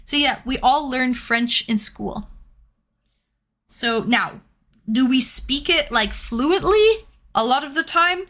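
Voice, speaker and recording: A woman's voice: high-pitched, animated, takes it slowly, pauses often, a bit bright. A young woman from Canada chatting casually with viewers while painting and reading out their comments. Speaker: streamer.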